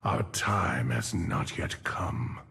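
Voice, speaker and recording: An actor playing a weary, solemn monk turned necromancer in a video game, with a gravelly, breathy low voice and slow, brooding delivery. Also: male voice, a bit bright, whispered, full character voice. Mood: weary, resolute. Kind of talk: video game champion voice lines. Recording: long cavernous reverb on the voice.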